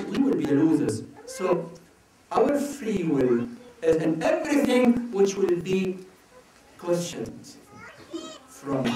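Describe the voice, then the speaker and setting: A man from Iraq answering audience questions at a religious talk, speaking slowly and deliberately and often asking rhetorical questions. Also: high voice. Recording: echoey hall, mic far off.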